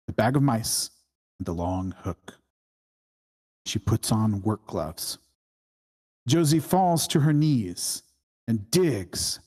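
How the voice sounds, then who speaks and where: A mid-pitched narrator reads screenplay stage directions aloud at a table read. The delivery is slow and deliberate, broken into short phrases. The voice has a husky, unpolished grain. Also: a little mellow. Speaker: screenplay reader.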